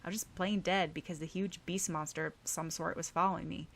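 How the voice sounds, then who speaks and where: A woman's voice: lowish voice, fairly even intonation, a little fast, vocal fry. A woman voicing a playful, affectionate and earnest teenage boy in an audiobook story, with noise behind her. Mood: teasing.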